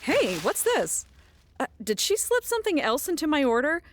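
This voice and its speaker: A sly, greedy young schemer. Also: female voice, high voice, huge pitch swings, moderate pace, dry recording. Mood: playful. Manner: teasing, drawling, sly.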